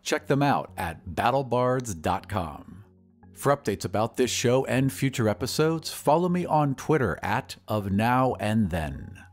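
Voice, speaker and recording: Male podcast narrator, lively pitch, measured storytelling, faint music underneath. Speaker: narrator and producer. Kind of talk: scripted audio-drama narration.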